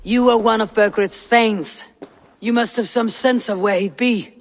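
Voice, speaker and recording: A woman's voice: a little high, steady tone, measured pace. An actress playing a fearless Viking warrior woman in a video game, speaking in a curt, commanding way. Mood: resolute. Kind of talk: video game cutscene acting.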